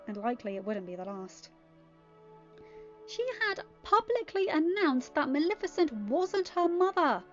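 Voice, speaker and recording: A woman's voice: high voice, lo-fi sound. A woman narrating fan fiction as an amateur audiobook reader.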